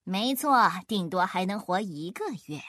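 A woman playing a sly, witty trickster man in a children's story, with a deadpan, teasing manner. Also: mid-range voice, unhurried, dry recording, clean studio sound. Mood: mock grave.

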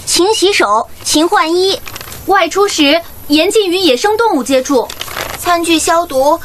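A woman acting a brisk, dutiful medical worker reciting rules in a Chinese radio drama. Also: very high-pitched, animated, a little hiss or hum, full character voice. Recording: loud music and crowd behind.

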